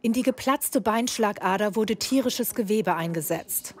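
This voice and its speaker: A woman's voice: medium pitch, normal speed. A woman reporter reading scripted narration for a documentary news report.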